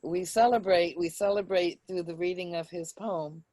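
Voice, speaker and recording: A woman leads an informal discussion on a video call. Her voice is mellow and slightly low, and she feels her way through sentences, repeating bits as she thinks aloud. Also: steady tone, normal speed, no echo. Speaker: discussion leader of a poetry group. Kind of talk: casual group discussion.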